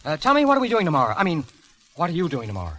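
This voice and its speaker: A man's voice: a little high, wildly swooping pitch, very fast, fairly bright tone, heavily acted. A man playing a reckless, flirtatious ace pilot, boisterous and teasing, in vintage radio-drama style. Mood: smooth, flirtatious. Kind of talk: 1930s-1940s radio-style drama acting.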